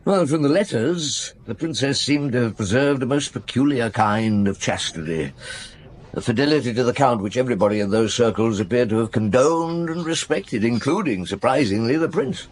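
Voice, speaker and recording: Male actor as brooding police chief, middle-aged, questioning, lively intonation, thoughtful pauses, radio play. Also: medium pitch, slight background noise, close to an everyday voice. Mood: pensive. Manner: ruminative, questioning, with hums and pauses. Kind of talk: radio drama acting.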